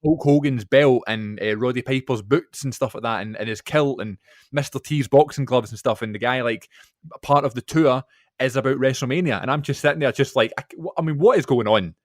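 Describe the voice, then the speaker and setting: A man talking quickly and eagerly on a podcast. He tells his story in an easy, chatty way, and the sound is close and dry with no room echo. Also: mid-range voice. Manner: fast, animated storytelling. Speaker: podcast co-host. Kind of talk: casual podcast chat.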